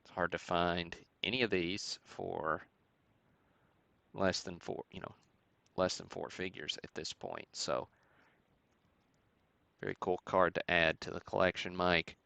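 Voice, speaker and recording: A man hosting a live stream, giving matter-of-fact commentary in a halting way with pauses. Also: sits low, level tone, gravelly, bright, forceful tone, clear, resonant tone, no room sound. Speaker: host of a sports card break stream. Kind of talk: live show-and-tell commentary on vintage cards.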